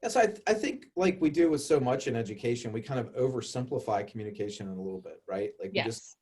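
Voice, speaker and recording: A man's voice: medium pitch, lively intonation, fast, a little mellow. A man hosting a casual panel discussion, upbeat and joking as he steers the talk. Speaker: podcast host.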